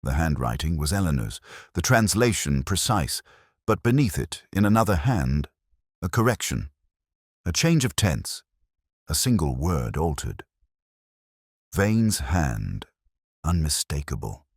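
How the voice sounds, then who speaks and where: A man reading a first-person detective story in measured, deliberate, clipped phrases. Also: very deep voice, big pitch swings, slightly rough, no echo, studio quality. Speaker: narrator.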